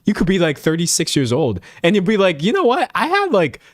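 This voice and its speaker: A man in his late twenties chatting casually to viewers as a livestream host, rambling in an animated, self-mocking way and acting out imagined remarks. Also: high-pitched, a little fast, no echo, studio quality.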